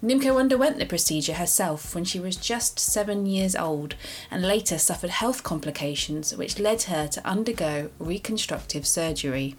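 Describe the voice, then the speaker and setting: A woman with a British accent hosting a podcast, reading a scripted introduction in a measured, formal way. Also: lowish voice. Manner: measured, formal read delivery. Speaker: podcast host.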